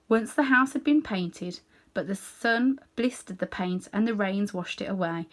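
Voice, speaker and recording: A woman reading a children's story aloud in an animated way, with dramatic pauses and hushed little asides. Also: medium pitch. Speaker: storybook reader.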